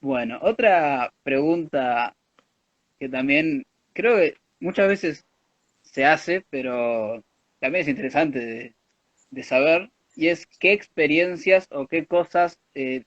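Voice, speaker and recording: A man's voice: on the high side, no room sound. A man, a student politely asking prepared interview questions. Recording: dry, dull lo-fi mic.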